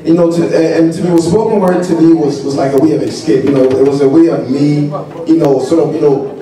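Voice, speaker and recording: A man's voice: a little high, quick pace. Young guy talking easy before a poem, far from the mic, crowd around. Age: young adult. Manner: relaxed, lots of "you know". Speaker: youth ministry president. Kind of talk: casual introduction before spoken word poem. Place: large church hall stage. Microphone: handheld mic, far camera. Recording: echoey hall with crowd, from far off.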